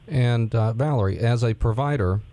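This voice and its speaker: A man's voice: medium pitch, normal speed, warm tone. A man, a medical provider co-hosting, asking careful, methodical questions. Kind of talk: interview questions.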